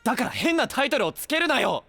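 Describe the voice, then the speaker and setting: An actor playing a brash, hot-tempered young knight with a rough, blunt manner in a fantasy audio drama. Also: male voice, extremely high pitch, sing-song, quick pace, pushed and bright, soft background music, full character voice. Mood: irritated.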